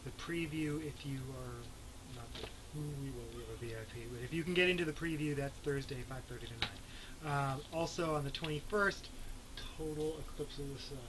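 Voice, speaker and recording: A man's voice: on the high side, a little fast, background noise. A man hosting a casual chat, rambling along and correcting himself as he goes, recorded on a webcam in a small room. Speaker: host. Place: small room indoors at home. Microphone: webcam at arm's length. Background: guitar music.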